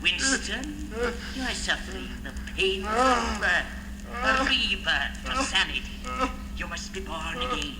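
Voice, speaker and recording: An actor playing a menacing, coldly instructive interrogator in a deliberate, patronizing style of old radio drama. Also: male voice, high-pitched, expressive, noisy background. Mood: stern, instructive.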